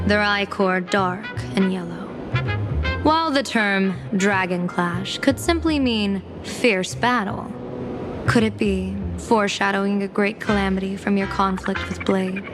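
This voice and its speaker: A woman's voice: mid-range voice, natural voice. Woman acting as enigmatic, formal general and fortune-teller over music. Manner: formal, measured, theatrical delivery. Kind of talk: scripted video game voice acting. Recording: loud music behind the voice. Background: game music.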